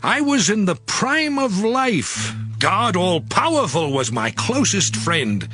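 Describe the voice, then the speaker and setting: An actor playing a righteous, once-proud man in a dramatized scripture reading, solemn and expressive. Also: sits high, full character voice. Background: background music.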